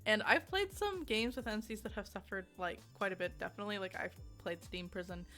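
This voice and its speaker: A woman's voice: medium pitch, brisk, a bit bright, faint music behind. A woman giving a casual, conversational video game review in an emphatic, informal way, often giggly as she talks.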